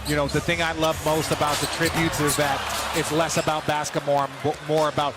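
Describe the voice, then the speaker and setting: A man's voice: on the high side, little pitch movement, brisk, over loud music. A man giving explanatory, conversational basketball analysis as a color commentator. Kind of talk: analytical live sports commentary. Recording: very noisy game broadcast audio.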